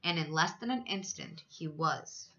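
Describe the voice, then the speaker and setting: A woman's voice: low-pitched, animated, a little slow, bright, forceful tone, muffled recording. A woman reading a children's story aloud as a teacher, expressively, with dramatic emphasis for young listeners.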